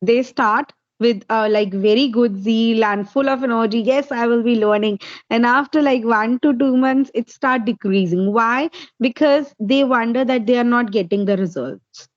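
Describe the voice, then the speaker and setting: A woman's voice: on the high side, normal speed, dry recording. Indian woman tutor, dull lo-fi sound. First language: Hindi. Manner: explains step by step, numbering points. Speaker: English tutor. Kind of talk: interview answers.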